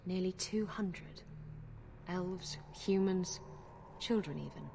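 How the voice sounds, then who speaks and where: An actress playing a brash, flirtatious pirate captain in a video game cutscene, teasing and sardonic. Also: female voice, fairly low pitch, slow, gravelly, background noise. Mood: sober, reflective.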